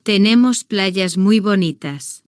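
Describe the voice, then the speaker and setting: A woman reading a simple first-person story for language beginners, in slow, deliberate, evenly paced short sentences. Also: mid-range voice, lively intonation, no echo, clean studio sound. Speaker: language-learning narrator.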